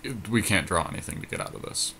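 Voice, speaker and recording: A man's voice: low voice, lively intonation, fast talker, creaky, sharp tone, a little hiss or hum. A man in casual gameplay commentary, analytically talking through his decisions as a podcast co-host. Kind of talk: casual gameplay commentary on a card game draft.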